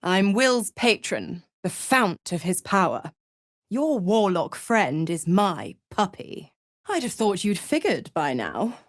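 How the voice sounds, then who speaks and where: An actress voicing a seductive, gloating devil patron in a video game, with a silky, condescending, theatrical manner of cruel teasing. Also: female voice, medium pitch, sing-song, slow, no room sound, clean studio sound. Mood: mocking, gloating. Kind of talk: video game character voice acting.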